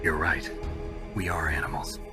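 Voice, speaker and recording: Low-voiced, world-weary soldier. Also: male voice, steady tone, moderate pace, over loud music. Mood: grim. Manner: terse, blunt, brooding. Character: world-weary legendary male soldier, blunt, brooding, self-blaming. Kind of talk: video game cutscene acting.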